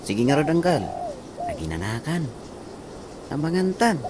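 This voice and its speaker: Man as young village nephew, respectful, slightly high, lively intonation, radio drama, noisy birdsong backdrop. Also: normal speed. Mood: concerned, helpful. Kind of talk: Ilocano radio comedy drama acting.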